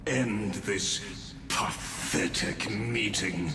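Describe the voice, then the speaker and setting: An actor playing a grand, cryptic, ancient genius in a video game, with theatrical, deliberate delivery and long dramatic pauses. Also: male voice, lowish voice. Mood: commanding. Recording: echoing voice under loud music.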